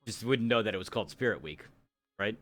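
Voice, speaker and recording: A man in casual conversation with fellow gamers, phrasing things haltingly. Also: on the low side, lively intonation, a bit bright, soft background music. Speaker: online roleplay gamer.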